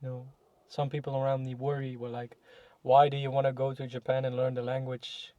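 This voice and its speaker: A man's voice: medium pitch, completely flat delivery, a little fast, mellow, no room sound, dull audio. Young male guest, casual and reflective, full of fillers and restarts; dry, close mic. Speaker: guest in a podcast chat. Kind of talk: casual conversation.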